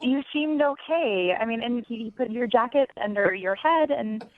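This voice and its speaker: A woman's voice: on the high side, lively intonation, moderate pace. A young woman calling a radio show, telling a personal story casually, hesitant and apologetic as she repeats herself. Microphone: telephone. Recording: tinny phone line.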